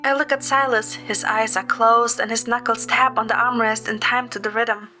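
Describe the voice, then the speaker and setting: A woman's voice: on the high side, little pitch movement, moderate pace, bright, forceful tone. A woman reading scripted first-person story narration. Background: music.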